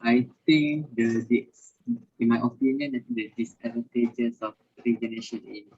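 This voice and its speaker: A man's voice: medium pitch, fairly even intonation, a little mellow, slightly rough. Hesitant young man, muffled call. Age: young adult. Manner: hesitant, halting English with many restarts. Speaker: student.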